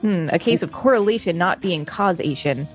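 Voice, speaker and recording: Lowish woman's voice reading out an article, dry and skeptical, soft music under. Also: expressive, moderate pace. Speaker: podcast co-host. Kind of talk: reading aloud and casual chat.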